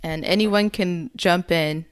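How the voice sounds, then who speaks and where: Casting: young woman podcast host, somewhat low voice, upbeat and welcoming. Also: slow, clean tone. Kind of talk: podcast intro and group interview.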